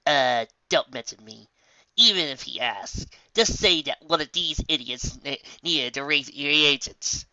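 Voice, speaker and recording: A man's voice: fairly high pitch, expressive, a little slow, bright, forceful tone, no echo, muffled recording, heavily acted. A man voicing a bossy, exasperated young female unicorn magician with a sarcastic, impatient manner in a story.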